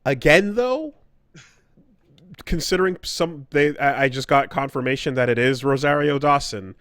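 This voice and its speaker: A man's voice: mid-range voice, normal speed, fairly bright tone, dry recording, studio quality. A man hosting a podcast in casual conversation, thinking aloud and rephrasing as he goes. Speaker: podcast host.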